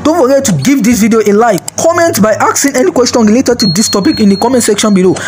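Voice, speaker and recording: A man with a high voice narrates a scripted health video as its host. He reads evenly from a script, sometimes with a sing-song lilt. Faint music plays under his voice-over. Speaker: channel host.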